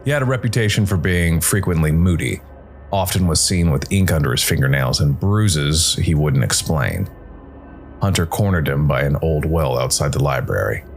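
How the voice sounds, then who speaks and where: A man narrating a scripted dramatic story in a measured way, with pauses for effect. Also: extremely low pitch, lively intonation, soft background music. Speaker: narrator, solo storytelling player.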